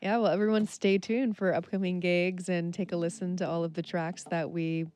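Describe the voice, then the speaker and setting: A woman's voice: lowish voice, little pitch movement, moderate pace, a little mellow, clear, resonant tone. A woman hosting a radio music show, chatting casually and filling her questions with "like.". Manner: chatty, lots of "like" fillers. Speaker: radio host. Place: radio studio.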